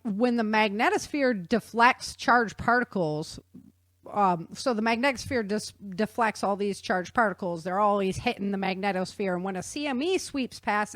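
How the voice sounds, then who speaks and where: A woman's voice: medium pitch, no room sound. A woman hosting a talk show, explaining science in a chatty, informal way and checking in with her listener.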